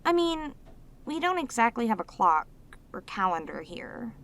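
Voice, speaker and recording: A woman's voice: a little high, sing-song, normal speed, fairly bright tone, slight background noise. A woman voicing a lonely, sweet, possessive demoness in an intimate roleplay audio drama, speaking tenderly and hesitantly to the listener. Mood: gentle, hesitant. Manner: tender, hesitant, slightly stammering, clingy, emotive. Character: lonely, sweet, possessive female demon, sealed away, obsessively devoted.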